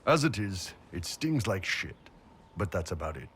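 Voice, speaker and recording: A gruff, low-voiced mercenary warrior. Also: male voice, sing-song, moderate pace, a bit bright, slight background noise. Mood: wry. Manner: blunt, wry, swearing soldier. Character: big gruff horned mercenary warrior, wry and loyal. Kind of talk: video game cutscene dialogue.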